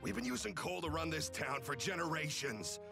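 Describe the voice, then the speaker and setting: Super gravelly high stubborn coal miner, exasperated, game parody, music behind. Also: male voice, lively intonation, fairly quick. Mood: indignant. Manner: protesting, exasperated. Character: indignant, stubborn small-town coal miner.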